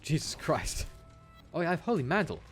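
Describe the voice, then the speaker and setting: A man's voice: on the high side, very animated, a little fast, faint music behind. A young man from Wales with a general British accent, chatting casually through gameplay commentary and often laughing as he talks to his viewers. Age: young adult. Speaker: game streamer. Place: indoor room at home.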